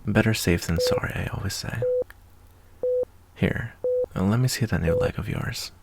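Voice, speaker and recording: Man acting a gravelly, easygoing wasteland doctor. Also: lowish voice, heavy background noise. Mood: friendly, wry. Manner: unhurried, hesitant, folksy, reassuring. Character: laid-back, fatherly wasteland doctor, gruff but caring adult man. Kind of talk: ASMR roleplay, softly acted monologue.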